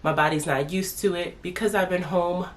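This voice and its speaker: A woman's voice: on the low side, fairly even intonation, normal speed. A woman in her thirties chatting casually as a family vlogger, congested from a sinus infection and calling herself "your girl". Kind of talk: casual chat to camera.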